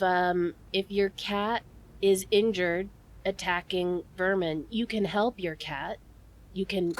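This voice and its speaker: A woman co-hosting an improvised comedy advice show, chatting and explaining in a conversational way. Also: fairly low pitch. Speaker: comedy podcast host.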